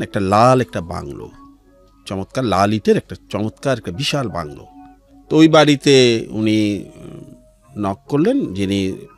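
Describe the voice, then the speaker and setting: A middle-aged man with a Bangladeshi accent telling his life story as an interview guest, in a measured way with pauses and some English words mixed in. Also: fairly low pitch. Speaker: interview guest, civil servant. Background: background music.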